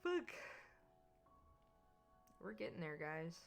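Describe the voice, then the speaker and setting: Excitable swearing woman streamer. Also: on the low side, takes it slowly, vocal fry. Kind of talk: casual gaming stream commentary. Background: faint background music.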